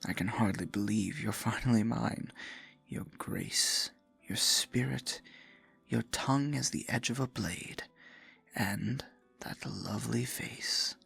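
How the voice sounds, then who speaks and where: A man playing a possessive, theatrical dark sorcerer in a fantasy ASMR roleplay, murmuring with silky menace and mock-sweet endearments in a husky, gravelly voice. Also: on the low side, slow pace, faint music behind, heavily acted. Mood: besotted, possessive.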